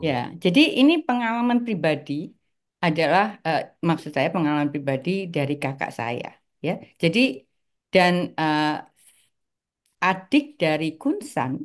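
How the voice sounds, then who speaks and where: Older woman, deep voice, halting, many fillers, interview guest. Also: very animated. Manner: hesitant, many filler pauses. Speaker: guest, article author. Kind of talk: interview, personal recollection.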